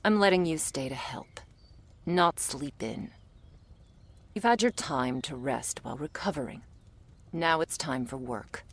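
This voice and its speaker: A woman playing a gruff, world-weary female monster hunter in an ASMR audio roleplay, curt, sardonic and bossy as she addresses the listener. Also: a little slow, long pauses. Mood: gruff, impatient. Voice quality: low, husky, breathy with gravelly creak.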